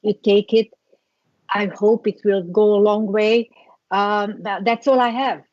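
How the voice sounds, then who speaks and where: A middle-aged woman with a Hungarian accent, a guest recounting her past in an interview, reflective and halting with many restarts. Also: mid-range voice, steady tone, darkish tone, no room sound, lo-fi sound. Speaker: guest, skincare founder. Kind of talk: interview storytelling.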